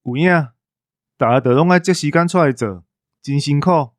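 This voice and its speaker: Male co-host, Taiwanese accent, high voice, dry close sound, whiny comic banter. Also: moderate pace, dry recording.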